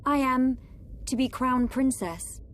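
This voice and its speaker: A woman's voice: high voice, slow. A woman playing a young, dutiful crown princess in a video game, earnest and formal. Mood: solemn, burdened. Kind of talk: dramatic video game voice acting.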